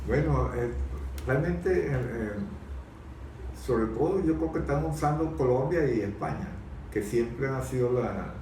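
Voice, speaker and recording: Halting man in a very echoey room. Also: medium pitch, animated, a little mellow. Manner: halting, repeats words while searching for phrasing. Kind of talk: conversational political-historical debate. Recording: very echoey room, distant mic, faint noise.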